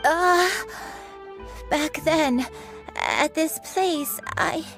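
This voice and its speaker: A gentle old granny speaks very slowly in a fairly high voice, hesitant and wistful. She sounds like a forgetful elder in an anime game, with faint music underneath. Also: exaggerated voice. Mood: hesitant, confused. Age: older.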